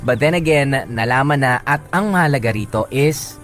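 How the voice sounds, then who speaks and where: A man's voice: medium pitch, expressive, normal speed, a bit bright. Filipino man hosting radio over music. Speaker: radio DJ co-host. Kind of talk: casual radio banter and advice on listeners' love stories. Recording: music bed under the studio talk. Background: background music.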